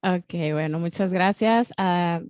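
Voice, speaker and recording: A woman's voice: fairly low pitch, takes it slowly, darkish tone, smooth, dry recording, clean studio sound. A woman, a teacher moderating a school question session, polite and formal in her thanks. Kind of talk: hosting a school Q&A.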